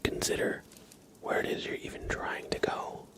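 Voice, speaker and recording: A man hosting an ASMR livestream, speaking in a soft, breathy whisper with a gentle, informal way of chatting to viewers. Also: moderate pace. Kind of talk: whispered ASMR with casual chat to live viewers.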